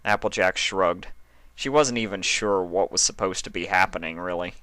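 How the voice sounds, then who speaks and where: A man's voice: low voice, normal speed, pushed and bright, smooth, no echo. A man reading scripted story narration as the narrator. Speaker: narrator of fan fiction.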